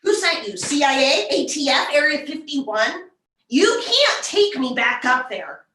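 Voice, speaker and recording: A woman's voice: sits high, sing-song, a little slow, bright, forceful tone, slight background noise, heavily acted. Woman playing a jittery paranoid woman, roomy distant mic. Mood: panicked, hostile. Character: frightened paranoid woman, an alien abductee, shaky and defensive. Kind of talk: script table read.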